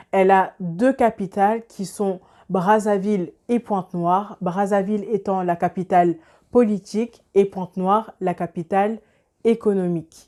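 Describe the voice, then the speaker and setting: Woman, video host, mellow relaxed timbre, mid-range pitch, measured, didactic, explanatory delivery. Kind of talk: explanatory presentation to camera.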